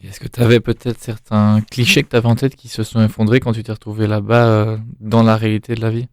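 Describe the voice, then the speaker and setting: Low, flat-voiced man asking questions. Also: fast, dry recording, studio quality. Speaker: interviewer. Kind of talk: interview questions.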